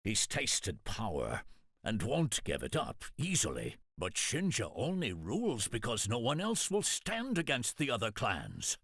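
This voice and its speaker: Husky, slow, loyal samurai retainer. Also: male voice, medium pitch, wildly swooping pitch, a bit bright, dry recording, studio quality, exaggerated voice. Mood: grave. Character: Otomo, loyal aging samurai retainer, grave and devoted. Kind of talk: dramatic video game cutscene acting.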